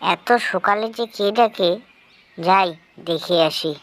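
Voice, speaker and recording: A woman voicing a sly, greedy male jackal who plays teacher, slow and smug. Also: fairly low pitch. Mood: sly friendly.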